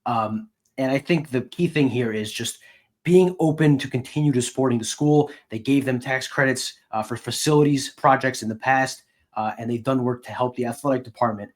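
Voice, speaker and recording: Measured, wry male sports podcast co-host. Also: medium pitch. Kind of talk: casual sports commentary.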